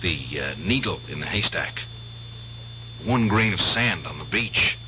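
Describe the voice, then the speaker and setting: An actor playing an obsessed, vengeful middle-aged war veteran in an old-time radio drama, clipped, sardonic and deliberate. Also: male voice, sits low, lively intonation, sharp tone, a little hiss or hum, full character voice. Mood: intense, resolved. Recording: dull lo-fi radio drama recording.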